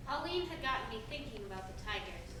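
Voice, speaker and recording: Actress doing reflective woman storyteller in a play, highish voice, far from mic with big echo. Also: expressive, fast, noisy background, natural voice. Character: reflective storyteller recalling a childhood tragedy. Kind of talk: stage monologue narration. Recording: echoey distant stage recording, loud music.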